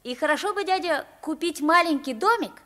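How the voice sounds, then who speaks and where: A frank, inquisitive young boy speaks in a high, swooping voice. He is a naive pauper mistaken for a king, acted in the manner of a 1950s radio play. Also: lively intonation, a little fast, pushed and bright. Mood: brisk, decisive.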